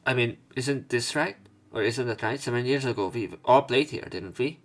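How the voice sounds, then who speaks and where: A man doing a let's play, reading visual novel narration and dialogue aloud, somewhat haltingly, correcting himself as he goes. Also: mid-range voice. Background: game music.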